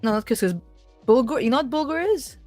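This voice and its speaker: A woman's voice: a little high, lively intonation, quick pace, darkish tone, soft background music. A woman talking casually and playfully on a livestream call from home, as a trader guest. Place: at home at a desktop computer.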